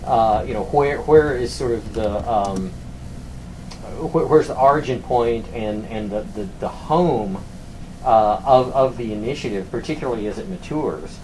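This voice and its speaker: Halting male academic on a noisy call. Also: mid-range voice, mellow. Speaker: university academic, former program director. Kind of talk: work discussion on a conference call. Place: conference call. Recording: conference call with clear background noise.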